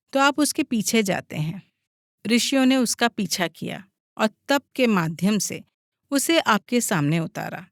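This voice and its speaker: A woman's voice: on the high side, dry recording, studio quality. A woman reading a scripted audiobook as its narrator.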